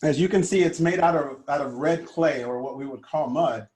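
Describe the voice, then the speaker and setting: A man in his fifties from Honduras, a guest life coach telling his childhood story in an earnest, gentle way with many fillers. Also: a little high, normal speed, reverberant. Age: 50s. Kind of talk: conversational storytelling.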